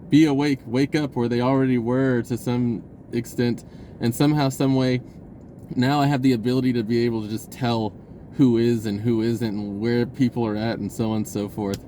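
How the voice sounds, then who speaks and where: Casting: man, mid-pitched voice, casual vlog storyteller, excitable and self-interrupting, slight background noise. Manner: blurting, self-interrupting, stammering over phrases; excitable, re-enacts conversations in quoted speech. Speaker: personal storyteller. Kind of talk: casual rambling vlog monologue.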